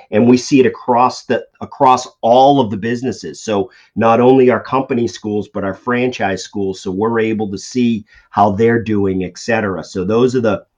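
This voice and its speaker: Middle-aged Boston man, interview guest, low-pitched, loose chatty delivery full of fillers, muffled lo-fi audio. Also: a little mellow. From Boston area. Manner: conversational, many fillers and restarts. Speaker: CFO guest. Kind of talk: podcast interview answers. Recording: dull lo-fi audio.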